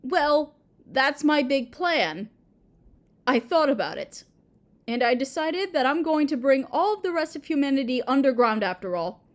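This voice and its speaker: A woman's voice: high voice, sing-song, normal speed. A woman voicing a doting, possessive and insecure Mother Earth in an intimate roleplay audio drama, tender, hesitant and sweetly overbearing. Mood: sweetly sinister.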